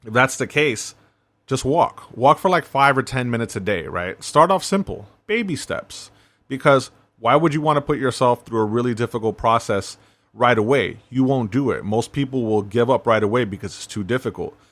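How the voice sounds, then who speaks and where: A man's voice: medium pitch, expressive, moderate pace, a bit bright, no room sound. A man hosting a self-help podcast, talking in a laid-back, conversational way and often checking in with "right?". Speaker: podcast host.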